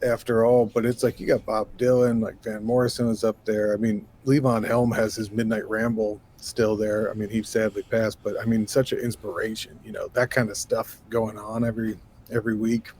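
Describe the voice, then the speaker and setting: A man with a low, raspy voice talks as a podcast guest. He speaks in an animated, rambling way, with lots of "you know" and "like." He sounds like a relaxed man in his thirties chatting about his life. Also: normal speed, slight background noise. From New York State. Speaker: guest musician. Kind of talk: casual interview conversation.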